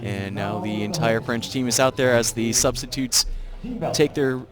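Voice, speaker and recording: A man's voice: medium pitch, steady tone, moderate pace, music in the background. A man from the United States calling a baseball game live, reading out the lineups carefully and apologizing for his pronunciation of the names. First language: English. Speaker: play-by-play commentator. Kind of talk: live sports commentary. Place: outdoor ballpark broadcast.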